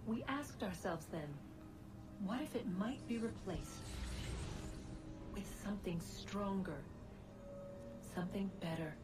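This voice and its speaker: Slow, solemn ancient goddess, music behind. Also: female voice, medium pitch, lively intonation. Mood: solemn, brooding. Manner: slow, measured, dramatic phrasing with pauses. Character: grieving, solemn ancient goddess-like being recounting a lost past. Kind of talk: dramatic video game monologue. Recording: music under the voice. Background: game score.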